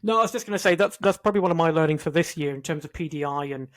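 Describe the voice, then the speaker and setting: Male co-host for a casual podcast, fairly high voice, agreeable and informal. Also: racing.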